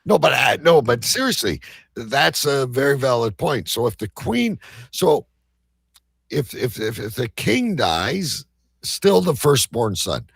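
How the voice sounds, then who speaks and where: A man's voice: medium pitch, very animated, a little slow, vocal fry, fairly bright tone, husky, no echo. A man talking as a talk show host in crude, irreverent banter, brash, mocking and argumentative.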